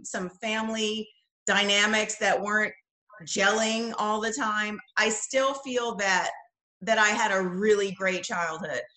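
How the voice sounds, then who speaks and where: A woman's voice: mid-range voice, sharp tone. A woman from the Bahamas telling personal stories conversationally as a podcast guest. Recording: roomy, echoing sound.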